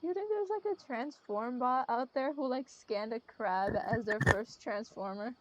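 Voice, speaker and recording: A young woman chatting casually while she plays and comments on a game, recorded on a low-quality mic. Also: moderate pace, highs cut off, a little hiss or hum. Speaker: gamer playing and commenting. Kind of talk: casual chat over gameplay. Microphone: voice call with a friend during gameplay.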